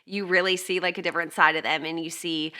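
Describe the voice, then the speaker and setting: A woman's voice: lowish voice, level tone, fast, bright, forceful tone, clear, resonant tone. A woman hosting a beauty industry interview show, chatting casually with lots of filler words. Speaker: podcast host. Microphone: video call.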